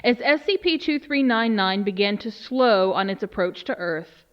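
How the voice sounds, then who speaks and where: A woman playing a grave research official, delivering a solemn, measured dramatic briefing. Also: medium pitch, expressive, clear, resonant tone, lo-fi sound, close to an everyday voice. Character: grave female research official briefing on a doomsday alien threat. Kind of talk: dramatic scripted monologue.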